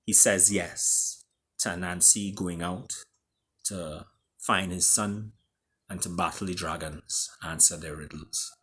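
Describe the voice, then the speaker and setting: Caribbean guy reading his story out, dramatic pauses, theatrical, bright forceful voice. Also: low-pitched, steady tone, slight background noise. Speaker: author reading own story.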